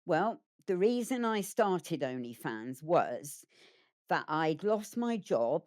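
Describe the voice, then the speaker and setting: A woman's voice: low-pitched, big pitch swings, slow, a little mellow. A woman giving a candid documentary interview about her experiences. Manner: plain candid recounting.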